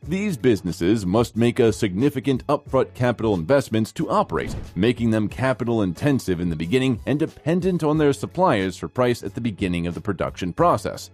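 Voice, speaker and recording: A man's voice: medium pitch, lively intonation, a little fast, hardly any pauses, a little mellow. A man reading scripted narration as the narrator of a finance explainer video. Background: background music.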